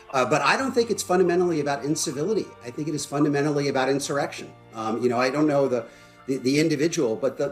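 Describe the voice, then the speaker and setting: Fast-talking man giving earnest political analysis. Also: mid-range voice. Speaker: news panel guest.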